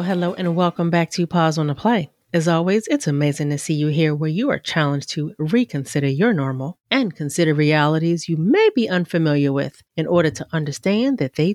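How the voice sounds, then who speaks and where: A woman with a low voice hosts a podcast in lively, casual chat. The recording is dry and close to the mic. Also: brisk, few pauses, dry recording. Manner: upbeat, lively and conversational. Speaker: podcast host.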